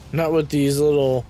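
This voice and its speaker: A man with a raspy voice, casually commenting to himself as he plays a game, clearing his throat now and then. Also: a little high, darkish tone, a little hiss or hum, low-quality recording. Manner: muttering to himself while playing, frequent ums and throat-clearing. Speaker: game streamer.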